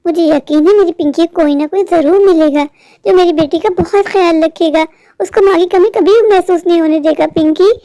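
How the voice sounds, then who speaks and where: A loving mother's spirit, voiced by a woman, high-pitched and tender. She comforts and encourages in a cartoon melodrama, in a dry recording. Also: brisk, dark tone, dry recording. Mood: tender, consoling. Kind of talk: melodramatic Hindi cartoon moral story.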